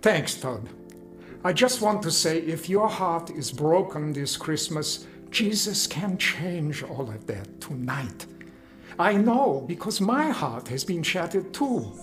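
A man's voice: on the high side, very animated, slow pace, fairly bright tone, full character voice. Earnest, once-homeless man, music behind. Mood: heartfelt testimony. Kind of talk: radio drama narration and testimony.

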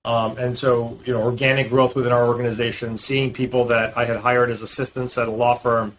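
A man's voice: mid-range voice, level tone, brisk, a bit bright, low-quality recording. Male guest, lawyer, explains at length, slight noise behind. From Boston, US. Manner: fluent, talks in long explanatory runs with frequent fillers. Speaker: guest, real estate lawyer. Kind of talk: podcast interview.

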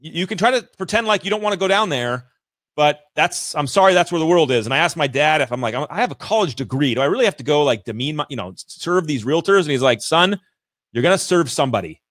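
A man's voice: fairly high pitch, animated, quick pace, fairly bright tone, no room sound, clean studio sound. A man hosting a podcast interview, talking energetically and effusively with many restarts. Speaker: podcast host. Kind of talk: interview chat.

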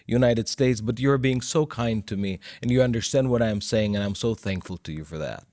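A man with a Russian accent, a native Russian speaker, talking earnestly and gratefully in simple, slightly halting English as a co-host in a studio. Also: lowish voice, a little mellow, no echo. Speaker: co-host of a Bible study show. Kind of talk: earnest, grateful casual talk.

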